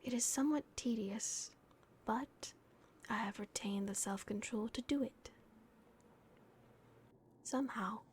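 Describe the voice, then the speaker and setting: A woman voicing a gentle, remorseful vampire guardian in a breathy, hushed whisper, as an ASMR roleplay. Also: medium pitch, a little hiss or hum. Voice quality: breathy, whispered with creaky edges. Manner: slow, hushed, hesitant pauses. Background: dark castle ambience.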